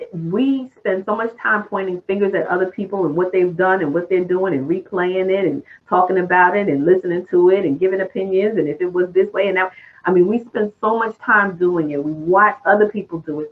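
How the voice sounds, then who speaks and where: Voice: mid-range voice, brisk. Reflective host on a tinny phone line. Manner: thoughtful, affirming, shares own views. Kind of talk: reflective interview chat.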